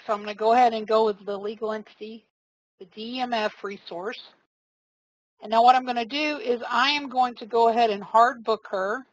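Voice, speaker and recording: A woman trainer giving a casual, step-by-step live software demonstration, explaining each click as she goes. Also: on the high side, rough recording. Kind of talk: live software demo walkthrough.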